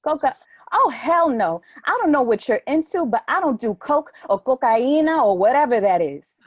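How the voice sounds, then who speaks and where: A woman's voice: high-pitched, expressive, moderate pace, dry recording, studio quality. A woman acting a blunt, proud, outspoken young girlfriend from New York in a play reading. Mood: brash. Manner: blunt, defiant.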